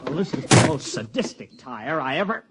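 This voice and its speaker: Radio play role, male actor: irritable American academic type, educated, testy, very lively intonation, noisy recording. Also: mid-range voice, normal speed, natural voice. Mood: irritated. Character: exasperated, irritable male university graduate student. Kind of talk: audio drama acting.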